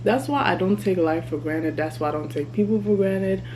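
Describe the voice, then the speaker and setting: A woman in her thirties talking candidly to her vlog camera while she eats, chatty and relaxed. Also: lowish voice, normal speed, slight background noise. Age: 30s. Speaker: vlogger. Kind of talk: casual mukbang vlog chat. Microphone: camera mic.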